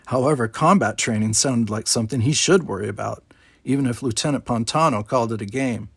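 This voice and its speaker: A man's voice: medium pitch, brisk, creaky, slightly rough, no echo. A man reading a novel aloud as a scripted storybook narration. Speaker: storybook reader.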